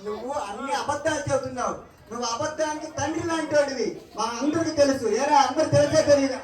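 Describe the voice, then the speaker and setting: A woman's voice: high-pitched, quick pace. A woman presenting an interactive gospel puppet show for children, questioning, scolding and prompting the audience. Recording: echoey distant PA, noisy crowd.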